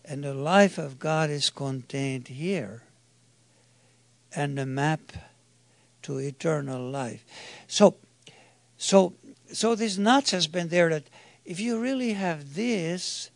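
Slow, gravelly-voiced preacher man. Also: on the high side, lively intonation, no room sound. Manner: emphatic, halting, with restarts and questions to the listeners. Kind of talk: sermon to a congregation.

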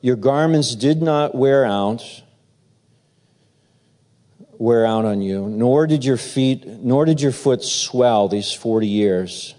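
Male pastor, slow and warm-toned, halting delivery with fillers; preaching and reading scripture. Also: medium pitch. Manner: halting, filler-heavy, then steady reading.

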